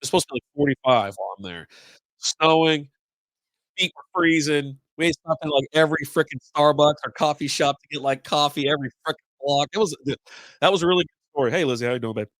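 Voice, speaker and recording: A middle-aged man hosting a beer podcast live stream, congested from a cold with a slightly raspy voice, rambling along in loose casual chat with some mild swearing. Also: on the high side, fast talker, fairly bright tone, dry recording, rough recording.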